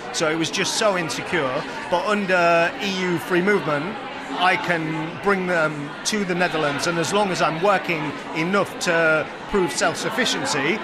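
A man from England with a Yorkshire accent, telling his story in a chatty, self-deprecating way in a street interview. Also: a little high. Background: crowd noise.

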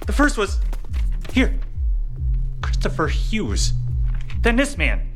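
An actor playing an anxious, bewildered male detective sidekick in an absurd noir comedy podcast, with a halting, rattled delivery. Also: high voice, wildly swooping pitch, over music, heavily acted. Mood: alarmed. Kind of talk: absurd noir comedy audio drama acting.

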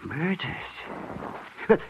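An actor playing an excitable, rattled boarding-house tenant in a 1940s radio drama. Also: male voice, drawn-out words. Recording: dull, faintly noisy old radio recording.

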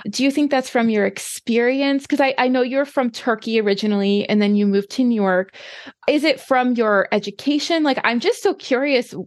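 A woman's voice: on the high side, moderate pace, no echo, clean studio sound. A woman hosting an interview, asking long, curious questions full of "like" and restarts. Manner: warmly inquisitive, rambling questions with many likes. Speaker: interviewer, podcast host.

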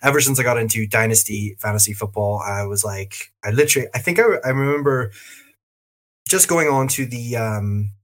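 A man's voice: on the low side, normal speed. A man hosting a podcast in an easygoing way, laughing often and talking with plenty of fillers. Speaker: podcast host. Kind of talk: casual podcast interview.

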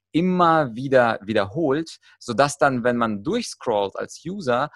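A man from Ukraine talks as an expert guest on a podcast. His voice sits at a medium pitch and is recorded dry, with little room sound. He explains in a conversational way, working through examples like a trainer. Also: fairly bright tone, no room sound. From Ukraine, moved to Germany at ten.

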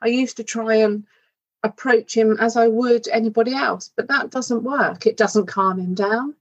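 A woman's voice: on the high side, fairly even intonation, moderate pace, highs cut off. A woman from the UK answering in an interview, frank and plainspoken, checking in with her husband as she goes. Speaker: podcast guest. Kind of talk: candid conversational interview.

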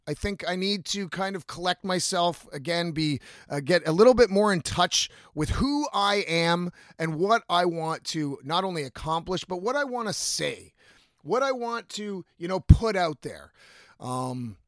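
A man's voice: sits high, animated, moderate pace, a bit bright, dry recording. Candid man, dry close sound. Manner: candid, rambling, sweary. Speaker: podcast host, singer-songwriter. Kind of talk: casual confessional monologue.

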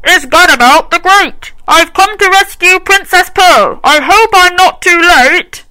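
A woman's voice: very high voice, a little slow, sharp tone, voice pushed up, exaggerated voice, rough recording. A woman voicing a boastful male knight in a read-aloud storybook, declaiming in a pompous way.

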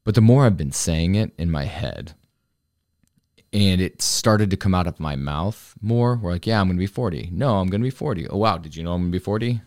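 A man's voice: sits low, a little mellow, no echo, studio quality. A man in his forties talking on his own about turning forty, earnest and rambling, often restarting his sentences. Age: 40s. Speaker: vlogger, fitness coach. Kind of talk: reflective solo talk to camera.

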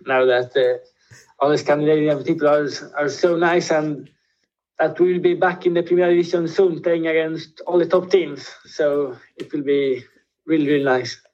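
A man's voice: fairly high pitch, fairly even intonation, moderate pace, darkish tone. A young man who grew up with Swedish and Spanish, answering interview questions casually as a footballer guest. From Spain, with Swedish family. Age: young adult. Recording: slightly dull, lo-fi audio.

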